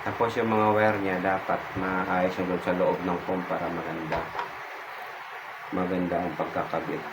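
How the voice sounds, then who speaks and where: A man's voice: low voice, level tone, moderate pace. A Filipino man giving a casual hands-on tutorial, informal and repeating his phrases as he demonstrates. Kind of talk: casual hands-on how-to chat. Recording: very noisy background ambience.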